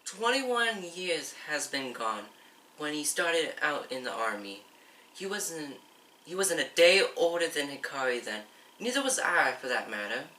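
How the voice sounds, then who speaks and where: A man's voice: a little high, very animated, measured pace, bright, forceful tone, full character voice. A young man in an amateur school play acting a genial, stubborn middle-aged father and husband. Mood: welcoming. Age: young adult. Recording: echoey, noisy room, distant mic.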